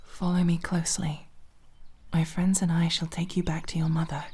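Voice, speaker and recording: A woman playing a nervous, naive young elf rogue in an ASMR fantasy roleplay, speaking softly with formal, hesitant, precise wording. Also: on the low side, level tone, moderate pace, slight background noise, natural voice. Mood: hushed, urgent. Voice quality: soft, breathy, often dropping to whisper.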